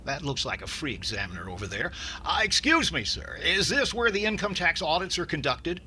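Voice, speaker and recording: A man's voice: on the high side, very animated, fairly quick. A man playing a fussy, stingy middle-aged comedian in 1940s radio comedy style, hesitant and exasperated. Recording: noisy radio play recording.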